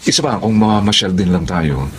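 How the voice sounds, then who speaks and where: A man's voice: fairly low pitch, animated, brisk, noisy background, lightly acted. A man playing a loyal, good-natured but uneasy husband in a Filipino radio drama, speaking earnestly and expressively.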